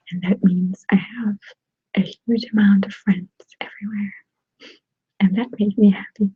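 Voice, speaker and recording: Woman, Russian accent, very husky whisper, slow and giggly, dull dry close-mic sound. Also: mid-range voice, no room sound. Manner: soft whispering, giggly, answers viewer comments. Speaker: ASMR channel host. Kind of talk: whispered ASMR live chat. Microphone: desktop USB microphone.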